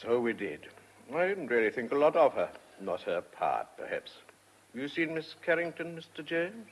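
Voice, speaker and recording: Older farmer dad in a radio play, hearty and chatty, voice swings up and down a lot. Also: male voice, mid-range voice, very animated, moderate pace, slight background noise. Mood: musing. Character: genial older Welsh hill farmer, hospitable father. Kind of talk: radio drama acting.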